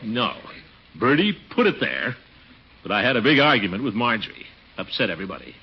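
Blustering radio-comedy uncle, wildly swooping voice. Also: male voice, lowish voice, big pitch swings, fairly quick, slightly rough. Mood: grumbling. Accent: American. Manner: pompous blustering, stammers and chuckles when flustered. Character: blustering, flustered middle-aged uncle, fond but fussy. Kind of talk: 1940s radio comedy acting. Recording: muffled, noisy 1940s radio recording.

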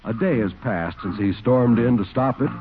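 A man's voice: mid-range voice, normal speed, narrow, tinny audio, faint music behind. A man announcing on 1940s radio with a polished, emphatic sales delivery for adverts and scripted narration. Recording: band-limited 1940s radio broadcast.

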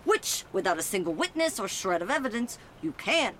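Brisk female police detective, mid-pitched and very expressive, dry, skeptical, matter-of-fact, for noir audio drama. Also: a little hiss or hum. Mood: skeptical, teasing. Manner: deadpan, matter-of-fact, teasing.

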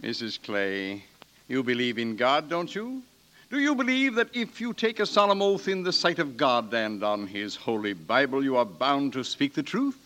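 An actor playing a pompous, insistent prosecutor in a 1940s radio drama, with formal, oratorical speech. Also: male voice, medium pitch, very animated, moderate pace, fairly bright tone. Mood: coaxing pressure. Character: pompous, pressing male courtroom prosecutor.

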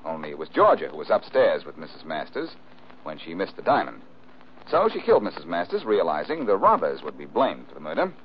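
Smart-aleck 1940s radio detective, american, lively delivery, dull hissy old recording. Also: male voice, deep voice, brisk. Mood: confident, sardonic. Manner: glib, teasing, self-assured. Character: wisecracking, cool-headed amateur detective man, quick and sardonic. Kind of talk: 1940s radio mystery acting.

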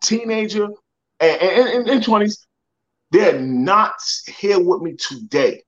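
A man's voice: high-pitched, slow pace, lo-fi sound. A young man, a motivational speaker, telling a personal story in a podcast interview. He repeats words and acts out the people he quotes.

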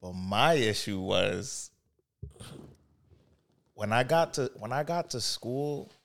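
A man from a Haitian-American family, co-hosting a casual podcast chat and telling his story in a relaxed, steady way. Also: medium pitch, slow pace, gravelly. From United States, Haitian family. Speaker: podcast co-host.

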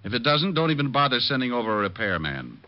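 An actor playing a suave, brash private detective with an American accent, brisk, wry and commanding, in 1950s radio drama style. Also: male voice, medium pitch, big pitch swings, close to an everyday voice. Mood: brisk, bossy. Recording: muffled vintage radio broadcast recording.

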